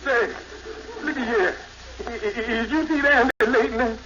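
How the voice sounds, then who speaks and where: Wisecracking folksy crony, piercingly high voice, excitable, exaggerating, muffled vintage radio comedy sound. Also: male voice, normal speed, a loud crowd. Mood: excited, gossipy. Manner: exaggerating, folksy nonstandard grammar. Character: excitable, gossipy, wisecracking crony, a shady comic schemer. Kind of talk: 1950s radio sitcom acting. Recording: muffled, very noisy 1950s radio recording.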